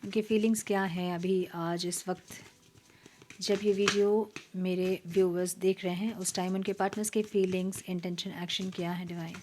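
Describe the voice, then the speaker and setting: A woman hosting a tarot reading, slightly hoarse, talking casually and dropping to near-whispers at times. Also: medium pitch, noisy background. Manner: soft, mixing Hindi and English, drops to near-whispers while shuffling. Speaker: tarot reader.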